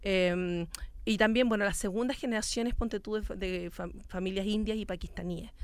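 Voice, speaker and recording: A woman's voice: mid-range voice, steady tone, moderate pace, smooth, no room sound. A woman from Chile with a Chilean accent, speaking as an interview guest in an earnest, outspoken, emphatic way. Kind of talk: conversational interview answers.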